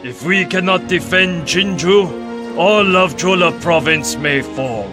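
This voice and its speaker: An actor playing a proud, determined Korean military officer in a video game, with formal, declarative speech. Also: male voice, high voice, slow pace, music in the background. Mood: urgent. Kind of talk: dramatic video game cutscene acting.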